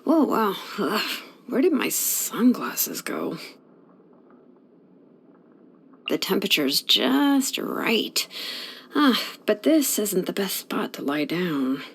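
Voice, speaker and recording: An actress playing a sleepy, laid-back young woman blacksmith in a fantasy video game, speaking in a casual, unhurried way. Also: medium pitch, sing-song, vocal fry, breathy edge, full character voice. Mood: drowsy, easygoing. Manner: unhurried, casual, hesitant drawl with sighs. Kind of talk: English video game voice acting.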